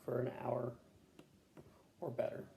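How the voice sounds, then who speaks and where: A man answering health questions as a patient, casual and matter-of-fact, pausing to think in short answers. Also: medium pitch, completely flat delivery, creaky, warm tone. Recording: echoey room with faint background noise.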